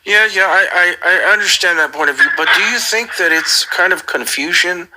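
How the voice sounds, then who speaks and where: A man's voice: high voice, moderate pace, very noisy. A man on a casual call, asking earnest questions in a hesitant way and often repeating his phrases. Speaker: caller.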